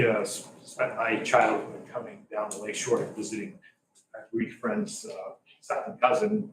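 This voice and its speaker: An older man, a lawyer, speaking off the cuff at a city meeting, rambling and halting with many restarts. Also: fairly low pitch, fairly bright tone. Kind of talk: unscripted public comment. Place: council chamber. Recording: echoey chamber, distant mic, faint hiss.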